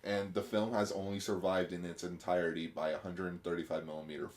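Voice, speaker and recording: Low-voiced man chatting casually. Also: flat delivery, brisk. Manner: chatty, rambling, uses fillers. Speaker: podcast co-host. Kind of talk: casual chat about films.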